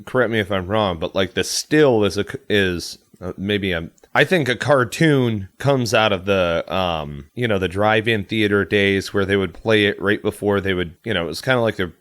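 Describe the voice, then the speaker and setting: A man's voice: fairly low pitch, normal speed, hardly any pauses, a bit bright, no echo. An American man chatting casually as a podcast co-host, rambling and correcting himself as he thinks aloud. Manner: rambling, hedging, self-correcting. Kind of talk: casual chat.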